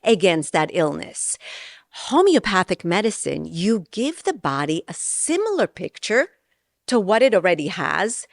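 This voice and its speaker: A woman's voice: lowish voice, vocal fry, no room sound, clean studio sound. A middle-aged woman from Israel and New York, an interview guest explaining her work in an earnest, conversational way. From born in Israel, raised in New York from age ten.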